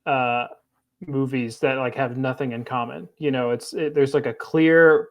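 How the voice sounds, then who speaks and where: A man's voice: medium pitch, fairly even intonation. A man co-hosting a film podcast, speaking earnestly in a casual chat, often stopping and restarting his sentences. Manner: halting, many restarts, earnest. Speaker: film critic, podcast co-host.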